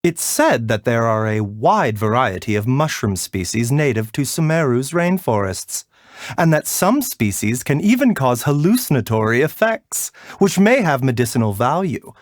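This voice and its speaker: An actor playing a gentle, composed herbal doctor in a video game, polite and measured in manner. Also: male voice, mid-range voice, very animated, no room sound, clean studio sound, natural voice. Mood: calm explaining. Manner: soft-spoken, polite, measured, bedside courtesy. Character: gentle, courteous, composed male herbal doctor, frail yet unhurried. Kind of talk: video game character voice lines.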